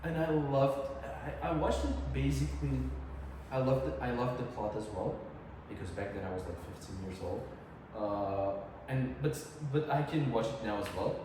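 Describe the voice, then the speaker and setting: A man's voice: mid-range voice, normal speed. A young man with an Uzbek accent, a guest in a casual chat, talking earnestly with many hesitations and restarts. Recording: echoey room, far from mic, background noise.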